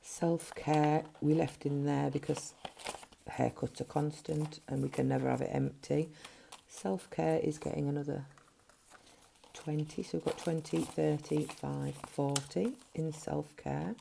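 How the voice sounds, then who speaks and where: A middle-aged woman with a gruff voice, chatting casually as a budgeting vlogger while counting money at a table at home. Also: low voice, level tone, a little slow, mellow, a little hiss or hum. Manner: chatty, counting notes under her breath. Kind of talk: casual cash-stuffing vlog narration. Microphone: overhead camera framing hands.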